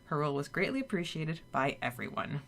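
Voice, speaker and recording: A woman's voice: deep voice, very animated, brisk, a bit bright. A woman hosting a podcast, chatting with fillers and then reading a summary steadily. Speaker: podcast co-host. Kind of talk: casual chat and read chapter summary.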